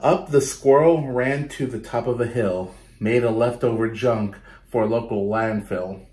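A man in his thirties reading a picture book aloud to children, pausing to ask questions and explain, recorded in a small room. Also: medium pitch, a little mellow. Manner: instructive, pausing to question and explain to young listeners. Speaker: children's storytime reader. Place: small bedroom, indoors.

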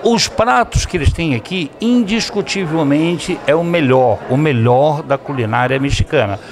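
A man's voice: a little high, normal speed, crowd noise. A middle-aged man hosting a TV show, enthusiastically interviewing and promoting to viewers from inside a restaurant on a handheld mic. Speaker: TV show host. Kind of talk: upbeat on-location interview. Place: indoor restaurant dining room. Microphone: handheld microphone.

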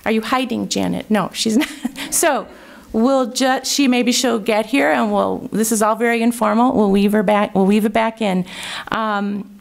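A woman's voice: a little high, vocal fry. A woman in her sixties hosting a library poetry event, introducing guests in a friendly, informal way at a lectern. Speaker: event host. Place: library meeting room. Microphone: lectern microphone.

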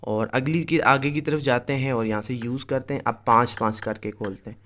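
A man's voice: medium pitch, fairly even intonation, a little fast, a bit bright. A man hosting a gaming video, casually explaining things straight to his viewers as he goes. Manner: explanatory, addresses viewers directly. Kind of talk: casual screen-recorded game commentary.

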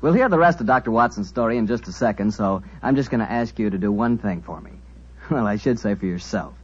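A man hosting a 1940s radio program, delivering a friendly, persuasive wine pitch and questioning a guest. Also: animated, quick pace. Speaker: program host and wine announcer. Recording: dull lo-fi old radio broadcast.

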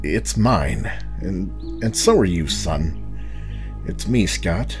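A man's voice: deep voice, lively intonation, soft background music, heavily acted. A man playing a remorseful space-pirate father. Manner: earnest, halting and apologetic.

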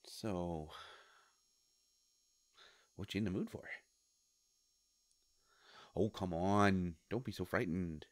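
A man playing a sly devilish shopkeeper in a roleplay audio, coaxing and musing with hesitant pauses. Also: deep voice, dry recording. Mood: wry, coaxing. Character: sly devilish male shopkeeper of a wish-granting store. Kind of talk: scripted roleplay audio monologue.

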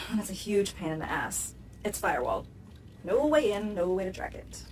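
A woman's voice: mid-range voice, big pitch swings, moderate pace, a little mellow, far from the mic, soft background music, close to an everyday voice, rough recording. A woman playing a young, sardonic American hacker in a video game, casual, techy and slangy. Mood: exasperated. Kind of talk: video game cutscene acting.

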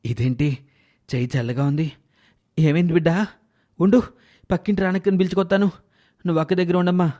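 A man voicing a caring middle-aged village father who speaks tenderly to his child in an animated moral story. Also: on the high side, brisk, long pauses, pitched above the performer's own voice, lightly acted. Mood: alarmed.

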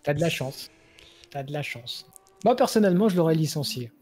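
A man's voice: fairly high pitch, lively intonation, fairly quick, a little mellow, husky. A man co-commentating a live sim race, teasing his partner and adding mock-formal jokes.

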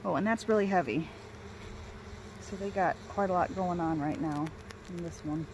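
A woman's voice: on the low side, normal speed. A woman beekeeper chatting and explaining as she inspects a hive outdoors, recorded on a handheld camera. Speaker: beekeeper, co-host. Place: outdoors at a backyard beehive. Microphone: handheld camera mic, crinkling gloves. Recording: outdoor hum of buzzing bees.

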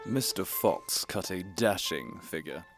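A man playing a dashing, mysterious gentleman suitor in a period audio drama, with florid formal diction. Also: low voice, lively intonation, unhurried, over loud music. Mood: grand. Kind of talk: audio drama acting and narration.

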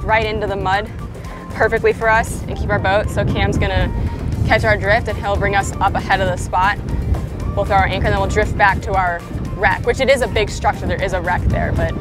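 A woman's voice: mid-range voice, steady tone, fairly quick, over music. Casual young woman explaining fishing on a boat. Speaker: fishing guide and host. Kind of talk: instructional fishing vlog. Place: open boat on the sea, outdoors.

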